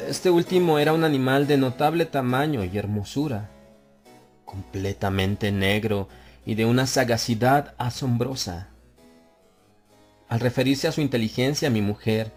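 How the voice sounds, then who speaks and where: A man narrating a horror tale as an audiobook, in a grave and measured way, stretching key phrases out slowly. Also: mid-range voice, a little mellow. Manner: grave, measured delivery with drawn-out slow phrases. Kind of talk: dramatic horror audiobook reading. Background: faint harpsichord music.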